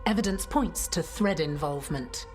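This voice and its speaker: A woman playing a brisk, duty-minded templar investigator in a fantasy game, curt and matter-of-fact. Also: sits low, lively intonation. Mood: curt, concerned. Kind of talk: cinematic fantasy game dialogue. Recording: music behind the voice.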